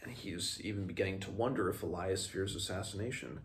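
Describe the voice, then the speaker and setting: A man talks through a book in a halting, thoughtful way, as a read-along host. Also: sits low, a little hiss or hum. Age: 20s. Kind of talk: casual book commentary with passages read aloud. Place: small room at home, indoors.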